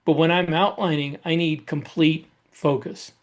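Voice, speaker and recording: Male podcast co-host, fairly high voice, conversational and explanatory, circles back over his phrases. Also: fairly even intonation, measured pace. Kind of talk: casual podcast chat.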